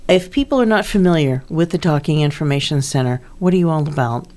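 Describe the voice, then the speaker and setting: A woman's voice: low voice, animated, fast talker, a little mellow. A woman hosting a casual morning radio interview, chatty, upbeat and playful with her guests. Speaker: radio host.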